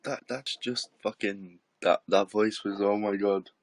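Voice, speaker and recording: A man calling into a livestream as a guest, chatting informally and a little haltingly. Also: lowish voice, fairly even intonation, dry recording. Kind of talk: casual chat.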